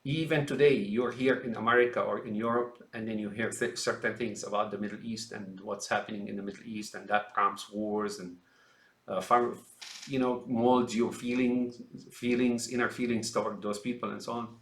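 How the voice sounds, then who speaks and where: A man's voice: on the low side, fairly even intonation, normal speed, a bit bright, echoey room, poor audio. A man from Syria giving a rambling, emphatic political monologue, often calling his listeners folks. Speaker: commentator.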